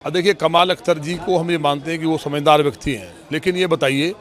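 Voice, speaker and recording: A man's voice: a little high, brisk. A man with a North Indian accent, a politician giving a combative, rhetorical reaction to reporters.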